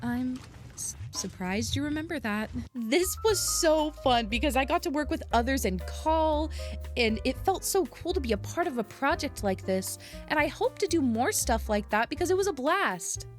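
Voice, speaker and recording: A woman's voice: high-pitched, animated, moderate pace, faint music behind. A woman narrating a vlog in an enthusiastic, earnest way. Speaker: aspiring voice actor, content creator.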